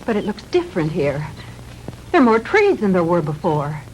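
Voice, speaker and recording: An actress playing a frightened, bewildered, high-strung young woman in a 1960s low-budget film. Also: very animated, moderate pace, a little mellow. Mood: uneasy, frightened. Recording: noisy old 1960s film soundtrack.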